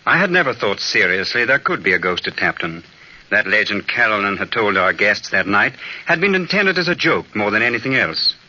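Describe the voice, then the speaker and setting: A man playing a concerned English country gentleman, narrating an old-time radio drama in a reflective, dramatic way. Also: lowish voice, sing-song, brisk, heavily acted. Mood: troubled. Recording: muffled vintage radio recording.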